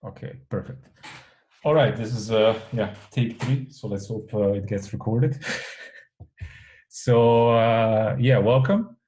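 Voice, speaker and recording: A man from Serbia gives a relaxed, rambling guest lecture, full of fillers and restarts. His voice sits low and sounds warm and mellow. He talks like a consultant explaining things to students. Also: slow. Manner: casual, rambling, many fillers. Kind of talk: recorded online lecture.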